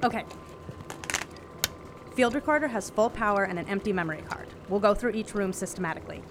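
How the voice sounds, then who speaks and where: A woman's voice: mid-range voice, fairly quick, noisy background, lightly acted. A woman playing an earnest, methodical sound recordist in an audio drama, speaking in a clipped, matter-of-fact, pedantic way. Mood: businesslike.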